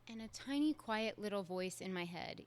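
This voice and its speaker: A woman's voice: medium pitch, expressive, normal speed. A young adult woman, hosting a solo podcast in a candid, conversational way with casual fillers. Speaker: podcast host.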